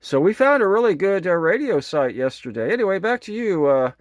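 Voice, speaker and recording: A man's voice: high-pitched, sing-song, brisk, no room sound. Rambling American man on ham radio, close and dry. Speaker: amateur radio operator. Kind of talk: casual ham radio chat. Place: indoors at a home radio station.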